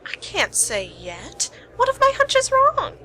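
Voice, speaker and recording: A woman's voice: extremely high pitch, wildly swooping pitch, unhurried, sharp tone, full character voice. A woman playing a wry, determined young private investigator in an amateur radio play. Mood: curious, guarded.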